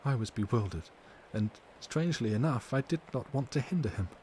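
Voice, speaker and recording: A man reads the part of a troubled young wife in a dramatic audiobook. She recounts earnestly and formally, at a measured pace, with tenderness and courage. There is light background noise. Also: medium pitch, expressive, dark tone, close to an everyday voice. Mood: anguished. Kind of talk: dramatic audiobook character reading.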